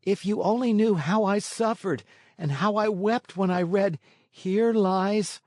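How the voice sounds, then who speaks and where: A man voicing an eager, naive boy marionette for a storybook, pleading and excitable with a touch of whine. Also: high voice, measured pace, no echo, clean studio sound, voice pushed up. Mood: excited.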